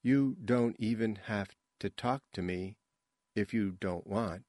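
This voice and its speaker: A man plays a reluctant young actress in an audiobook. She recites love lines slowly and quietly, with a flat, wooden delivery and a dry, close sound. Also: fairly low pitch, no echo. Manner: slow, soft, wooden delivery.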